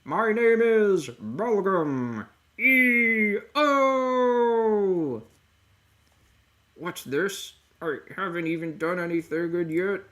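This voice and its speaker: A man voicing a manic, complaining little demon creature from a comic, in loud, drawn-out exclamations. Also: high voice, lively intonation, pitched above the performer's own voice, full character voice. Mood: excited, whining.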